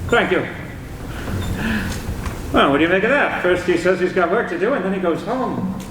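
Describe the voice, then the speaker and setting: A man's voice: sits high, animated, normal speed. A man playing a blunt, no-nonsense newspaper editor in a 1940s radio serial style. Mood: puzzled. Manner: blunt, direct. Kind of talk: 1940s radio serial acting performed live. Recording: echoey room, faint noise.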